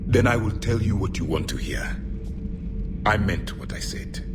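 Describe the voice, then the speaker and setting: An actor playing a proud, stern ancient alien warrior in a video game, with haughty, solemn delivery. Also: male voice, fairly low pitch, moderate pace, close to an everyday voice. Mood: cool, blunt. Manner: haughty, clipped, solemn pronouncements. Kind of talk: dramatic video game voice acting. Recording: loud music behind the voice.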